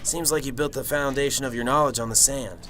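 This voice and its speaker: A man's voice: mid-range voice, steady tone, fast talker, sharp tone, a little hiss or hum, close to an everyday voice. Man acting a dry, cynical, world-weary detective. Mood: dry, guarded. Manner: dry, sardonic. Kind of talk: amateur radio drama acting and first-person narration.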